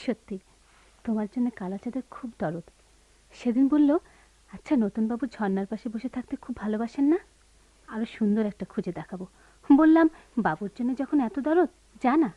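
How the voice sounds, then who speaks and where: An actress playing a young housewife, bossy yet gently teasing, with theatrical radio play delivery. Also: female voice, on the high side, animated, moderate pace, pauses often, no room sound, phone-quality sound. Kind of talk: Bengali radio play acting.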